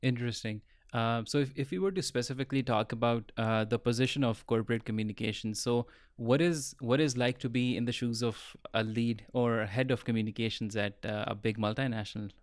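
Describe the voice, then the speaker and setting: A male podcast host asks his guest questions over a video call. His voice comes across smooth and resonant in a dry, close sound, though he stumbles through his questions with frequent ums and uhs. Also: medium pitch, fairly even intonation, brisk, hardly any pauses, dry recording. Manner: hesitant, many fillers. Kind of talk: hosting an interview podcast.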